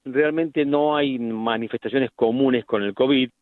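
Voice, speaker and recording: A middle-aged man with an Argentine accent, a medical specialist explaining things deliberately in a radio interview, with frequent restarts. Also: medium pitch, no room sound. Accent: Argentine Spanish. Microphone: phone line. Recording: tinny phone line, dry.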